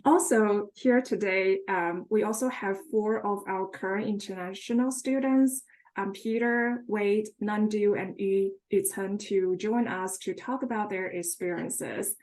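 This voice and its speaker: A woman's voice: medium pitch, a little mellow. A woman moderating an online student panel, welcoming each speaker and passing the turn along.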